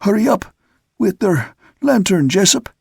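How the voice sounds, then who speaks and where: A male narrator acts a rough sailor in a seafaring tale. He shouts excitably and short of breath, dropping his letters. The voice is raised in pitch and gravelly. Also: a little slow, no room sound, clean studio sound, heavily acted. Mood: breathless, urgent. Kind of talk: audiobook character voice.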